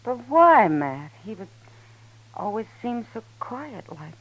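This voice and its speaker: An actress playing a frank, folksy frontier saloon keeper in a 1950s radio drama. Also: female voice, on the high side, sing-song, slow pace, heavily acted. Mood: shocked. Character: saloon owner, grown woman, warm and frank. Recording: lo-fi old radio broadcast with faint hiss.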